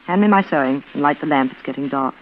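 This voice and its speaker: An actress playing a stern, impatient middle-aged sister in a 1940s radio suspense drama, curt and scolding. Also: female voice, low voice, fast talker, natural voice. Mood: irritated. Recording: dull, noisy vintage radio recording.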